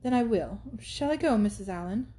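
A woman's voice: mid-range voice, expressive, moderate pace, warm tone, close to an everyday voice. A woman reading aloud and voicing an eager, hesitant young heroine who asks earnest, anxious questions.

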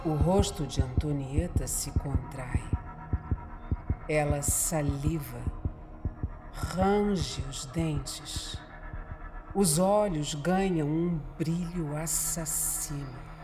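Slow, dramatic Brazilian woman narrator. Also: sits low, over music. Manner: deliberate, dramatic storytelling. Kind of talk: scripted horror radio drama narration.

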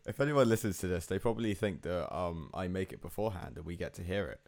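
A man's voice: low-pitched, lively intonation, fast talker, darkish tone. A young man hosting a podcast, rambling and self-mocking, laughing often in casual chat. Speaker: podcast host.

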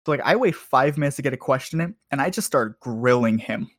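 A man's voice: mid-range voice, animated, a little fast, no echo, clean studio sound. A man bantering and telling stories casually as a podcast co-host, with a profane, joking and teasing manner.

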